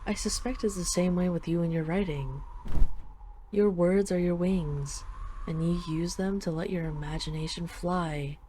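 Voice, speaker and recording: A woman voices a dragon queen in an intimate audio drama, with a low, mellow, soft voice. She is affectionate and wistful, teasing her beloved gently, with a faint wash of ambient noise behind her. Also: fairly even intonation, a little slow, natural voice. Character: dragon queen, tender, teasing, possessive lover.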